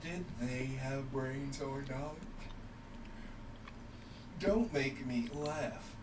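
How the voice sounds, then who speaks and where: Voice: mid-range voice, extremely slow, heavy background noise. A streamer's partner chatting casually from the side, joking and laid-back. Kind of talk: casual chat. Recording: distant from mic, loud game music.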